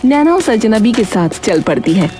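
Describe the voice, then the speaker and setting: A woman narrates a scripted cartoon story in a soft, mellow tone. Faint music plays behind her. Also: a little high, sing-song, normal speed.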